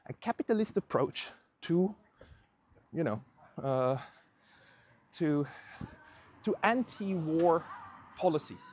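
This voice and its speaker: A man in his thirties talks off the cuff to a handheld camera outdoors. His voice is husky, and he speaks haltingly, working out his thoughts while walking. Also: on the high side, lively intonation, a little mellow, dry recording. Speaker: vlog host. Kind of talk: off-the-cuff spoken commentary. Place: outdoors on a suburban street. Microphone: handheld camera at arm's length.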